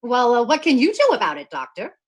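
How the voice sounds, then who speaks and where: An actress playing a patient, sensible, affectionate wife in vintage radio comedy, gently questioning, on a noisy, clicky recording. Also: female voice, fairly high pitch, wildly swooping pitch, fairly quick. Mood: fond, curious.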